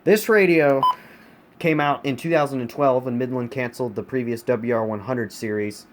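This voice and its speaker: A man's voice: medium pitch, moderate pace. A man from the United States talks through a casual hobbyist review in a mid-range voice. He stresses points by repeating them. It is recorded on a handheld camera in a room at home, with faint noise behind him. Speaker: collector reviewing his weather radios. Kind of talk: casual product review vlog. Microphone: handheld phone camera, speaker off screen.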